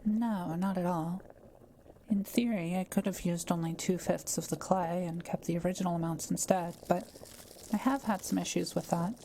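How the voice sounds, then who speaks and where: A woman playing a kind, quiet witch in an audio roleplay, speaking gently and carefully as she reassures and explains things to the listener. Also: fairly low pitch, fairly even intonation, moderate pace, slight background noise, close to an everyday voice. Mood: patient, explaining. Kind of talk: soft-spoken roleplay audio, talking to the listener.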